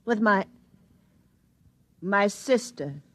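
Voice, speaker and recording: A woman's voice: medium pitch, expressive, very slow, no echo. An American actress playing a wary, streetwise young bar girl in an old-time radio drama. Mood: nervous, pleading.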